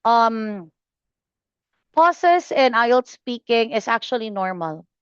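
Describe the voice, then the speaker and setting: A woman tutoring English exam students, giving feedback slowly and patiently with many fillers and pauses. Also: a little high, lively intonation, clear, resonant tone, no room sound, highs cut off. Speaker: IELTS speaking tutor.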